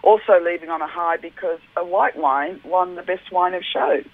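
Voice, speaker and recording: A woman with a husky voice, a wine judge talking on the radio as a guest, enthusiastic and rambling with lots of fillers. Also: lowish voice, fairly even intonation, normal speed, dry recording. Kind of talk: casual radio phone interview. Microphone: telephone. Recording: narrow tinny phone line.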